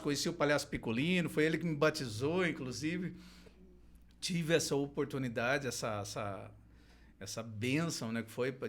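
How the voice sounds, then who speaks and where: A middle-aged man from Londrina in Brazil talks about his years in theater and clowning. His voice sits at a medium pitch. He hesitates often, restarting phrases and padding them with fillers as he recalls things. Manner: hesitant, many restarts and fillers, laughs easily. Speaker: guest, clown and theater teacher. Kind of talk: casual storytelling interview.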